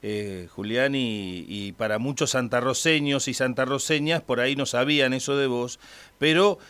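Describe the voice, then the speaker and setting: A man with an Argentine accent hosting a radio interview in a friendly, halting way, full of fillers. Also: medium pitch, a bit bright, clean tone. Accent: Argentine Spanish. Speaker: radio host.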